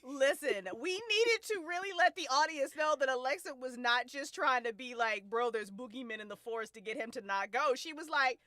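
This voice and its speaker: A woman's voice: high-pitched, normal speed, few pauses, bright, forceful tone. A woman hosting a casual podcast discussion, talking in a playful way and swearing freely. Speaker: host of podcast cast-and-crew listening event. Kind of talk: casual chat.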